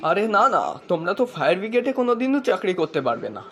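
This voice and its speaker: A man's voice: high voice, sing-song, very fast. A man voicing a cheeky, teasing boyish prankster in a comedy cartoon dub.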